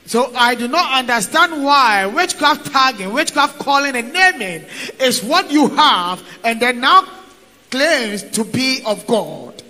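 A man interpreting a sermon line by line in a steady, declarative way. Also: very high voice, a little slow. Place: large church hall, indoors. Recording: long hall reverb.

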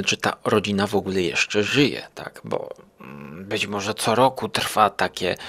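A man's voice: low voice, a bit bright. A man hosting a podcast, casually commenting and often searching for words. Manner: hesitant, searching for words, enthusiastic. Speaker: podcast host.

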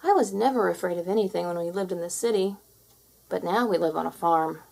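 A woman reading a children's story aloud in a dramatic, expressive storytelling manner. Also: lowish voice, fairly quick, creaky, rough recording. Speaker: storybook reader.

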